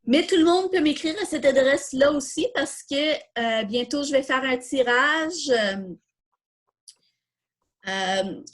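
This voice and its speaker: Woman, high-pitched voice, Quebec French accent, informal online coding lesson, thinks aloud, takes questions. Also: animated, takes it slowly. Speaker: workshop host.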